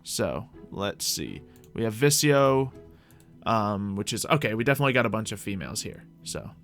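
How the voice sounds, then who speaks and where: A man's voice: lowish voice, animated, normal speed, fairly bright tone. Musing man over music. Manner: musing, talks through choices to himself. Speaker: host of a gaming video series. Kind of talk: casual gameplay commentary, thinking aloud.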